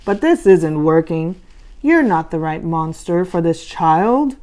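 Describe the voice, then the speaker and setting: A woman plays an exacting monster boss from a children's storybook. He is stern and instructive, issuing orders slowly with big swings in pitch. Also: lively intonation, mellow. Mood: measured, businesslike. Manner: commanding, instructive. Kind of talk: children's storybook read-aloud.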